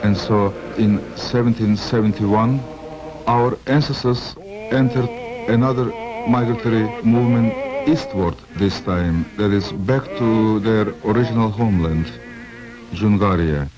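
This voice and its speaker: A man speaking deliberately in an interview, pausing mid-sentence as he recounts his people's history. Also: fairly low pitch. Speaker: community elder interviewee. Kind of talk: slow storytelling interview. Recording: dull old film sound with music.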